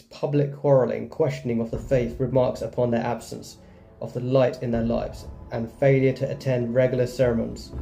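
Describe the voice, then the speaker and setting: A man's voice: medium pitch, creaky, dark tone. A man hosting a gaming video, reading game text aloud and adding casual commentary. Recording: echoey room sound. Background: faint game music.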